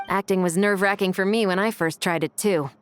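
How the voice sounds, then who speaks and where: A woman voicing a stern, steady female officer who speaks tersely and in a level, composed way. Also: mid-range voice, brisk, slight background noise, natural voice. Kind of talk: video game voice acting.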